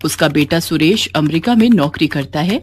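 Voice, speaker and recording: Lowish-voiced woman narrating a story. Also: animated, moderate pace, darkish tone, slight background noise.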